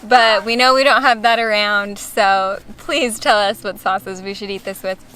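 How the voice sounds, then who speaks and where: A woman's voice: medium pitch, measured pace, sharp tone, poor audio. A young American woman chatting casually as a travel vlogger. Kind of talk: casual vlog chat. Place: outdoors in a town and gardens. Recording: outdoor ambience with clear background noise.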